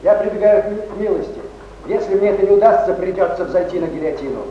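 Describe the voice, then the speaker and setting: A man's voice: high voice, fast talker, a little hiss or hum, lightly acted. A man playing a proud, bitter man of honour in a period stage drama, declaiming theatrically. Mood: solemn. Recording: reverberant, muffled theatre tape with loud music.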